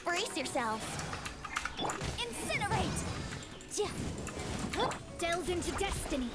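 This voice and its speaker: An actress playing a spirited young fantasy heroine calling out short combat lines in a video game. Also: female voice, extremely high pitch, sing-song, slow pace, full character voice. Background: loud game music.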